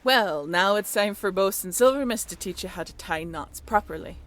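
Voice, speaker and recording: A woman's voice: medium pitch, lively intonation, moderate pace, fairly bright tone, voice pushed down, lightly acted. A woman playing a salty female bosun in a roleplay audio drama, talking in theatrical speech with a teasing, instructing manner. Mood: brisk, instructing. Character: salty female bosun of a haunted sailing ship.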